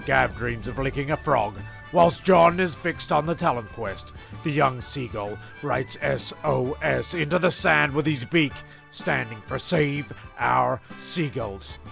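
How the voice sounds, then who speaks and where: Gravelly comedy narrator. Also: male voice, medium pitch, unhurried, soft background music. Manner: deadpan, exasperated. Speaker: narrator and show creator.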